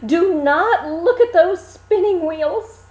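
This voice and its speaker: A woman's voice: extremely high pitch, slow pace, a little mellow, pitched above the performer's own voice. A woman voicing a resolute young storybook princess giving orders, urgent and emphatic, in a roomy space. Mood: urgent, commanding. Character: resolute young princess commanding her maidens. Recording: roomy, echoing room.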